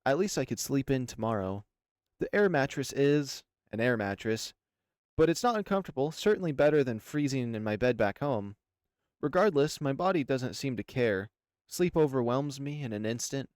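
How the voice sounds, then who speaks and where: A man's voice: medium pitch, lively intonation, moderate pace, a little mellow, no room sound, studio quality. A man reading a visual novel aloud as first-person narration for a channel. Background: music.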